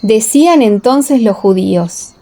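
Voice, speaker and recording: A woman's voice: on the high side, expressive, takes it slowly, warm tone. A woman reading scripted audiobook narration. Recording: echoey, long reverb.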